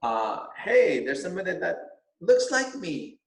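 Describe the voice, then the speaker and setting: Middle-aged male teacher, Vietnamese first language, earnest and halting, recorded in a reverberant room. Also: high-pitched, big pitch swings, gravelly. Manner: halting, many restarts. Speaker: teacher and school board candidate. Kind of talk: earnest interview talk. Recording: roomy echo.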